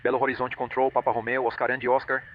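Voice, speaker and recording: A man's voice: medium pitch, monotone, rapid-fire, dull audio, a little hiss or hum. A man speaking as a pilot, making position reports and radio calls over an aviation radio.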